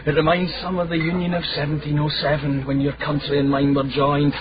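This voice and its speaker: A man's voice: on the high side, completely flat delivery, fairly quick, noisy background, natural voice. A man with a Scottish accent acting a jovial, grandiloquent tavern club leader in a radio drama, hearty and declamatory. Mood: genial, teasing. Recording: dull radio recording, busy tavern crowd.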